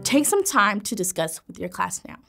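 Lively, encouraging woman teacher. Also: mid-range voice, moderate pace, gravelly, loud music behind the voice. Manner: animated, encouraging, gestures while speaking. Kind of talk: kids' educational video presenting. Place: indoor classroom studio set.

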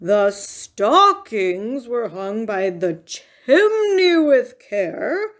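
A woman voicing a gruff, stern, pompous male bear who speaks formally in a children's storybook. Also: on the high side, very animated, takes it slowly, heavily acted. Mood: declaiming. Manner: pompous, declamatory, formal wording. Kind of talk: children's storybook character reading.

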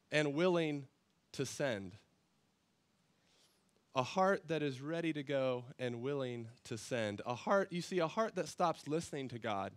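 A man's voice: a little high, a bit bright. A man in his thirties preaching from a church stage on a headset mic, his voice a little worn from a cold, talking conversationally and with emphatic repetition. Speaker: pastor. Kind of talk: sermon.

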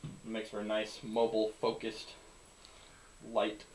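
A man calmly explaining his woodworking setup in a small backyard wooden workshop. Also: fairly low pitch, a little slow, a little hiss or hum, poor audio. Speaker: young woodworker showing his shop. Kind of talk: casual explanation.

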